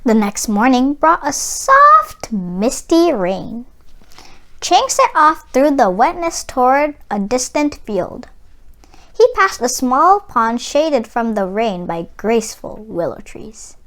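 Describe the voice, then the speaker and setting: A woman reading a children's storybook aloud in a careful, expressive storytelling delivery. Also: fairly high pitch, takes it slowly. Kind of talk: expressive scripted storybook narration.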